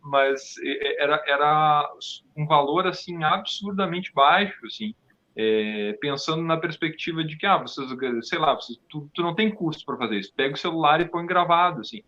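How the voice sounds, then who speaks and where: Halting, bright-voiced man, higher pitched. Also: animated, dull audio. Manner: hesitant, halting, thoughtful. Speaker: theatre performer, interview guest. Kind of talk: conversational interview answer.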